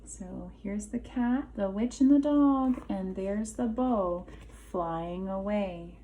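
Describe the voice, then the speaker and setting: A woman kindergarten teacher reading a children's storybook aloud expressively, deliberate and emphatic, pausing for questions. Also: medium pitch, mellow, slight background noise, rough recording.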